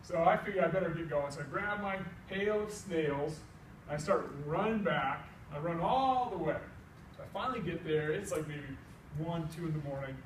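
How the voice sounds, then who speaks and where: A middle-aged American man tells a comic tall tale live, in a folksy, animated way, acting out both sides of the dialogue. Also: a little high, normal speed. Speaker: storyteller. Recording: echoey room, distant mic, faint noise.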